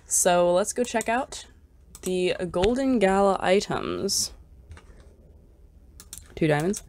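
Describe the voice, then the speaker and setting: A woman's voice: on the low side, darkish tone. A young woman with a congested, scratchy voice, chatting casually and gushing as she shops for items in a game. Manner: chatty and bubbly, gushing over cute items. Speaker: gaming YouTuber. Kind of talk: casual gameplay commentary.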